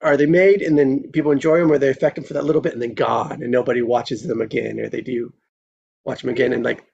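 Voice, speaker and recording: A man's voice: medium pitch, flat delivery, fast, vocal fry, a little mellow, slight background noise. A young man chatting casually in a loose, conversational way over a lo-fi microphone. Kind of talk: casual chat. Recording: dull, lo-fi microphone sound.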